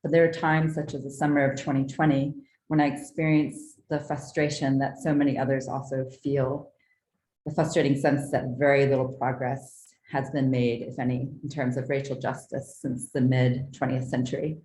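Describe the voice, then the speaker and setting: A woman from the southeastern United States presents her own art in a prepared talk, with measured, articulate, formal delivery. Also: extremely low pitch, flat delivery, a little mellow, slight background noise. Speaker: artist presenting own work. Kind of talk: prepared artist talk, read from notes. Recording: roomy, echoing sound.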